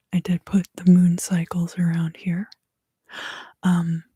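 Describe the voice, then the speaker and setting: A woman whispering a soothing ASMR tarot reading in a breathy, mostly unvoiced voice, taking her time. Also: fairly low pitch, dark tone, no room sound. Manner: soothing, unhurried.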